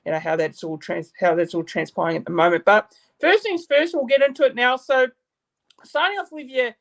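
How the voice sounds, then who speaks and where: A man's voice: very high voice, a little fast, vocal fry, fairly bright tone. Hesitant New Zealand man hosting a stream. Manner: hesitant, many fillers and restarts. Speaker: YouTube channel host. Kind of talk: casual live-stream interview.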